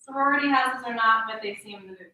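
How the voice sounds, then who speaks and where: A woman's voice: fairly high pitch, rapid-fire, pushed and bright. A young woman acting in a staged reading, playing a cheeky, dramatic member of a friend group in conversational banter. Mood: playful. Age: young adult. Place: echoey room at a staged reading. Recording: very echoey hall, distant mic, noisy.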